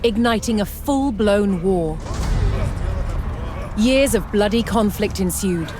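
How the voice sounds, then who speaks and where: A woman delivering scripted historical narration for a strategy game, in a measured storytelling manner. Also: medium pitch, animated. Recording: music behind the voice. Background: background music.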